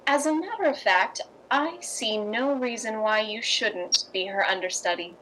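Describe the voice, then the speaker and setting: A woman's voice: fairly high pitch, fairly bright tone, slight background noise, rough recording. Woman playing a poised, wry society wife. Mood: reassuring. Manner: wry, measured storytelling. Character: poised, worldly, reassuring playwright's wife, narrating. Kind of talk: 1950s radio drama acting and narration.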